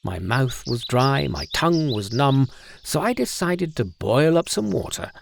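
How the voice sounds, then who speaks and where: A man playing a bold, comical hero of ancient legend who tastes herbs, with a gravelly voice and theatrical delivery. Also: mid-range voice, big pitch swings, unhurried, slight background noise. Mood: proud. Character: Shen Nong, bold, comical ancient herb-tasting hero.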